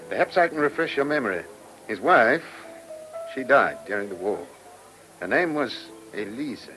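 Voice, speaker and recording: An actor playing a shrewd, persistent chief inspector in a radio play, with an English accent and a dry, probing manner. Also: male voice, mid-range voice, animated, normal speed, bright, forceful tone, faint music behind, low-quality recording. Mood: confident, confronting. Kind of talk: radio drama acting.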